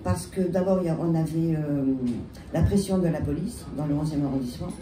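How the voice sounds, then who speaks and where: A woman with a French accent and a head cold, telling her story on a panel with halting restarts. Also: low-pitched. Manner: halting, many restarts and fillers. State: has a cold. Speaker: bar owner, panelist. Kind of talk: panel talk, recounting her history. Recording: echoey room, distant mic, loud background noise.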